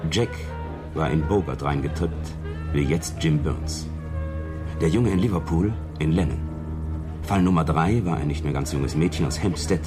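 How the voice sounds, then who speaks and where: Very low-voiced hard-boiled investigator, dry clipped reports, 1970s sci-fi radio drama, music underneath. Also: male voice, normal speed. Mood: matter-of-fact, laconic. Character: British male police detective, worldly, hard-boiled, investigating on his own. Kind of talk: 1970s German science fiction radio drama dialogue.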